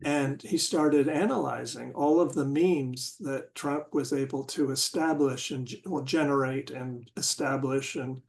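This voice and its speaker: A man in a casual group discussion, talking haltingly and searching for words with many restarts. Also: mid-range voice, steady tone, creaky.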